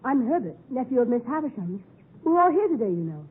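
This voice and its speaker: A cocky, well-bred young boy in a 1950s radio play. The voice is high and very animated, brisk with polite bravado. It sounds muffled, like an old broadcast. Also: slightly rough. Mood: cocky, curious. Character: cocky, chirpy, pale young gentleman boy. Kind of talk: 1950s radio drama acting. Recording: muffled narrow-band 1950s radio recording.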